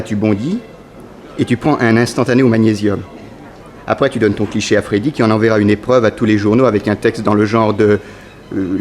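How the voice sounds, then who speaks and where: Guy playing a smug rich young schemer, low voice, talks fast, glib and callous, old radio play. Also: slight background noise, natural voice. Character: cynical, scheming dissolute young rich playboy. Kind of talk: early 1960s radio drama acting.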